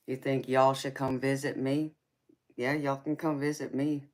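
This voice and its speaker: A woman with a Southern US accent talks with her live stream viewers in an easy, chatty way. She speaks at an unhurried pace, laughs often and calls people baby. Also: extremely low pitch, flat delivery, darkish tone. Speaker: tarot reader hosting a live stream. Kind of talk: casual live chat with viewers.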